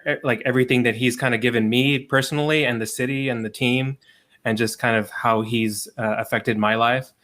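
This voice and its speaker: A man hosting a casual livestream over a video call, relaxed and chatty, with frequent ums and laughs. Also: medium pitch. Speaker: livestream host and sports writer.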